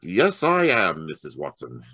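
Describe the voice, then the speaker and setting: Guy acting old radio mystery detective, lowish voice, really animated, stammery and formal, dry sound. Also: breathy edge, no room sound, heavily acted. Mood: hesitant, coaxing. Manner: halting, stammering, then deliberate and formal. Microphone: home microphone on a video call.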